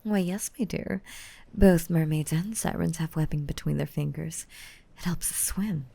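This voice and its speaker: A woman voicing a gentle, motherly young mermaid in an intimate, softly whispered ASMR roleplay, soothing and tender with pet names. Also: low-pitched, moderate pace. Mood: tender, playful. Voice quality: soft, breathy, often whispering. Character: gentle, motherly five-hundred-year-old mermaid, still young, softly dominant.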